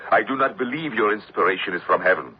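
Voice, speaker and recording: An actor playing a menacing, mock-courteous interrogator in a radio drama, with silky sarcasm and deliberate threats. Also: male voice, fairly low pitch, husky. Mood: stern, threatening. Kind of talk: old-time radio drama acting. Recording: dull, lo-fi old radio recording.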